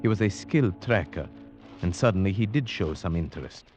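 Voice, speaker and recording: A man playing a cultured, brooding huntsman who narrates gravely and formally in an old radio drama. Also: low-pitched, lively intonation, fairly quick, darkish tone, soft background music. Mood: grave narration. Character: cultured Slavic huntsman, brooding, at home in forests. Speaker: narrator and character. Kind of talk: 1940s-50s radio drama acting.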